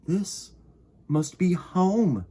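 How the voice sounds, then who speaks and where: A man puts on a small toy bear from a picture book, pitched up from his usual talk. The bear is gentle and wistful, speaking slowly with earnest wonder. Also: big pitch swings, breathy edge, heavily acted. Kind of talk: children's storybook character voice.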